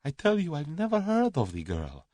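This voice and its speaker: Guy doing a posh cowardly nobleman, high soft voice, halting and formal, storybook villain, very close dry sound. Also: wildly swooping pitch, dry recording. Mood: frightened. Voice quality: soft-spoken, high when agitated. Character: cowardly, haughty Russian aristocrat man, nervous and hysterical.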